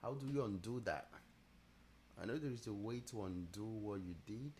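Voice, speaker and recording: A man with a mixed Nigerian and Italian accent, chatting casually and thinking aloud on a live trading stream, easygoing and quick to laugh. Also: lowish voice, darkish tone, a little hiss or hum. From Nigeria, raised partly in Italy. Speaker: trading livestream host. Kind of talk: casual live-stream chat answering viewers.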